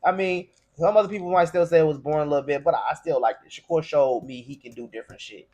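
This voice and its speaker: Higher-voiced American man ranting, profane. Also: quick pace, mellow. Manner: profane, slangy, argumentative. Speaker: boxing commentator. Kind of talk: opinionated casual rant.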